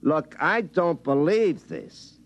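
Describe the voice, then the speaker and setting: Excitable, stammering lineman in old radio comedy. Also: on the high side, slow. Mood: puzzled, indignant. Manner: stammering, folksy, muttering to himself. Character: excitable young telephone lineman and amateur inventor, earnest, bumbling. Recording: vintage radio recording with music.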